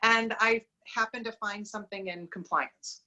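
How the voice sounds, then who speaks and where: A woman's voice: mid-range voice, steady tone, moderate pace, pushed and bright, muffled recording. A middle-aged woman with a Wisconsin accent, talking upbeat and at length as a guest on an interview podcast. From Wisconsin, USA. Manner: upbeat, talkative, frequent affirming murmurs. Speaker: guest, diversity recruiting business owner.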